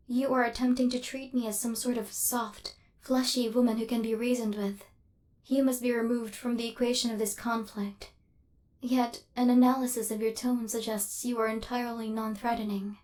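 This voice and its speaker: Casting: woman to play female assassin android, higher register, imperious and icy, formal machine-like diction, secretly flustered, ASMR audio drama. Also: darkish tone, lightly acted. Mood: cold, menacing. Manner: formal, clinical, stilted robotic phrasing. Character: female killer robot hunter, cold, haughty, secretly flustered. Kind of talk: ASMR roleplay.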